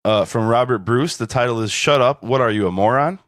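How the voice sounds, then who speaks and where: Deadpan man on a podcast. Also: medium pitch, a little fast, no room sound. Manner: dry, deadpan, chuckling. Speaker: podcast co-host. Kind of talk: casual chat, reading listener reviews.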